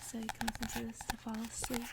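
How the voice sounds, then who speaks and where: A woman whispering close to the mic in a hushed, soothing ASMR style, as if reading and chatting someone to sleep. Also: on the high side, monotone, creaky. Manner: hushed whispering, soothing slow repetition of phrases. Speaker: ASMR host and book reader. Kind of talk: whispered ASMR, casual chat and bedtime story reading. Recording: faint background noise.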